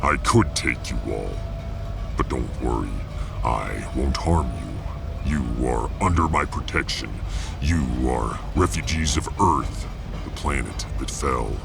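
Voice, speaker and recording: A man playing a huge, proud, battle-loving warrior officer in a sci-fi audio drama, gravelly and pompously formal. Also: takes it slowly, music in the background, exaggerated voice. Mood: proud, gruff. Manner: booming, pompous, formal declarations.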